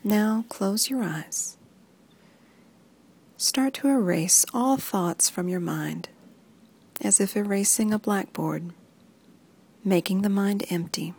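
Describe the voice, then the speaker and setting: A woman reading a guided muscle relaxation script in soft, even instructions that often sink into a whisper. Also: medium pitch, slow, long pauses, slightly rough.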